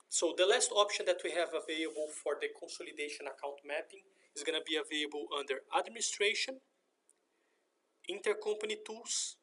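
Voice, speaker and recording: Guy with a pretty high bright voice walking thru software steps, methodical. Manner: explanatory, methodical. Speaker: software tutorial presenter. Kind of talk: step-by-step screen tutorial narration. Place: voice-over for a screen recording.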